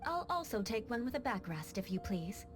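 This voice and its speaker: A woman's voice: a little high, sing-song, normal speed, loud music behind the voice. A woman voicing a composed young female knight in a video game, formal and commanding. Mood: polite. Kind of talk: video game cutscene voice acting.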